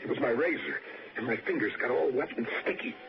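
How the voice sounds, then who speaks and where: An actor playing a nervous, defensive young murder suspect with a hesitant, stammering delivery in a 1960s radio drama. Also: male voice, medium pitch, fast talker, gravelly, close to an everyday voice. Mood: dazed, tense. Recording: dull, faintly echoing, noisy old radio recording.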